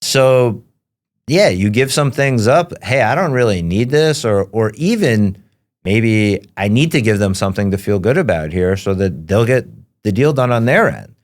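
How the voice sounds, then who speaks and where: Male podcast host, low voice; easygoing, chatty delivery with filler words. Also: expressive, normal speed. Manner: conversational, fillers like "like" and "right?". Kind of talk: casual interview conversation.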